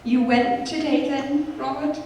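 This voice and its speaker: Sorrowful high-voiced mother, echoey hall. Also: steady tone, a little slow, darkish tone. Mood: gentle, concerned. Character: sorrowful gentle mother keeping composure. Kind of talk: live stage radio play. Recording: echoey hall from far off, faint noise.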